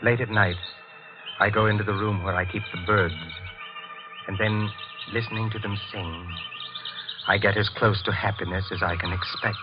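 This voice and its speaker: A man acting as a secretive, brooding husband telling his story in a vintage radio thriller. His voice is fairly low, hesitant and measured, with uneasy restraint. Loud music plays behind the muffled old recording. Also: fairly even intonation, natural voice. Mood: brooding, confessional. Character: nervous, brooding older husband, secretive bird keeper with murderous past. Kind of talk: 1940s radio acting, first-person narration.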